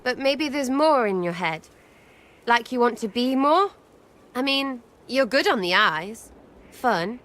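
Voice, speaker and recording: A woman's voice: on the high side, animated, takes it slowly, fairly bright tone. An actress voicing a cheeky, irreverent young elf woman who teases and uses crude slang. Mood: teasing. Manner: playful, teasing, crude slang. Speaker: companion character.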